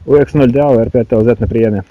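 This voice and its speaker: A man's voice: medium pitch, fast talker, dull audio. A man, speaking as an amateur radio operator making calls and commenting between them. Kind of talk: radio calls with phonetic alphabet and muttered commentary. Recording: noisy radio static with clicks.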